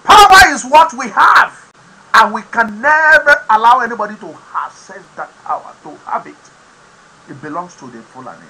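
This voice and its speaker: Forceful Nigerian man preaching politics. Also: very high-pitched, sing-song, moderate pace, a little hiss or hum, low-quality recording. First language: Yoruba. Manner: emphatic, preaching tone with sudden loud bursts. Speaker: host of an online talk program. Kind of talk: impassioned political commentary to camera.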